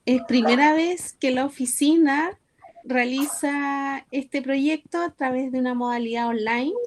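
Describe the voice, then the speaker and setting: A woman's voice: high-pitched, fairly even intonation, a little slow. A woman, a project coordinator, politely greeting and thanking participants on a video call. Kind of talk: formal welcome speech.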